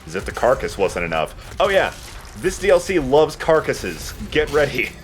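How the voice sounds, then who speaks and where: A man's voice: medium pitch, sing-song, normal speed, a little hiss or hum. A man giving casual, joking commentary while he plays a video game. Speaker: let's play host playing the game.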